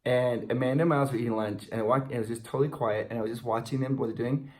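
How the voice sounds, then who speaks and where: A man's voice: mid-range voice, fairly even intonation, racing. An American man in his forties in casual, joking banter with his partner as co-host of a fan video. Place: indoors in front of a logo backdrop.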